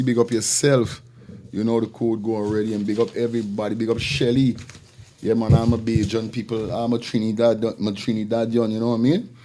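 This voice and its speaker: A man's voice: mid-range voice, mellow. A man with a Caribbean accent hosting a casual live stream in informal, slangy, repetitive patter. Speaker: live show host. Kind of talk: casual live-stream talk with shout-outs.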